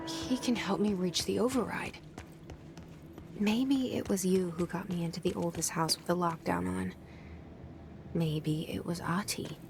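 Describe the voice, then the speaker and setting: In a video game, a guarded young woman agent thinks aloud in a low, gravelly murmur. Loud game music plays under her. Her delivery stays quiet and inward. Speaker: game protagonist narrating her thoughts. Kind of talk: inner monologue voice acting.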